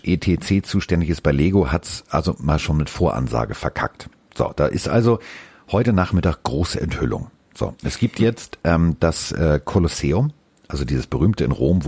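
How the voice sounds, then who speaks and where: A man with a very low, husky voice, recorded dry and close. He chats casually as a podcast co-host, blunt and slangy, with a teasing streak. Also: a little mellow, no echo.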